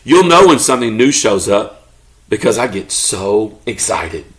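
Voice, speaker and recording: Expressive grumbling storybook beagle read by a man. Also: lowish voice, measured pace, pitched below the performer's own voice, rough recording. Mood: wistful, eager. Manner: expressive, mock-indignant storytelling. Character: good-natured, grumbling pet beagle narrating his own story.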